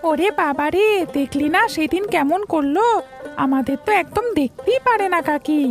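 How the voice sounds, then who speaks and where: A woman's voice: high-pitched, lively intonation, moderate pace, faint music behind. A woman voicing a small, hungry, pleading village child in a cartoon story. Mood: timid, wistful.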